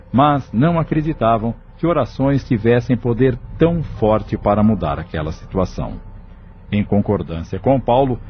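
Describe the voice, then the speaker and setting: A man narrating a scripted audio novel as its storyteller. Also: lowish voice. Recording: muffled lo-fi audio with music.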